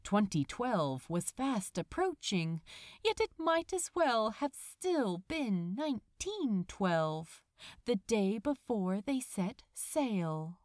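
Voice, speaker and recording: A woman narrating a story expressively, with deliberate, dramatic phrasing. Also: mid-range voice, very animated, a little mellow, no echo, studio quality. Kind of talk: expressive scripted fan fiction narration.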